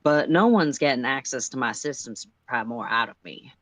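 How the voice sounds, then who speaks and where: A woman voicing a stern, guarded alien character with a deliberate, deadpan manner in a story reading. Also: rumbling low, dry recording, exaggerated voice. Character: stern, guarded, dry-witted male alien computer character.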